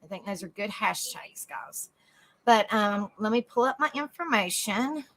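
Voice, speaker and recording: A woman hosting a casual livestream, chatting softly with viewers by name and reading notes aloud. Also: medium pitch, animated, unhurried, rough recording. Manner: soft, easygoing, chats with viewers by name. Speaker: livestream host.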